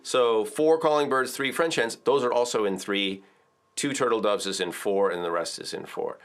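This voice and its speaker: A middle-aged man giving a relaxed ukulele lesson to camera in an indoor studio, chatty and full of filler words. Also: mid-range voice, normal speed, over loud music. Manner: chatty, explains with filler words and counts aloud. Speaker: ukulele teacher. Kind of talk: relaxed video tutorial.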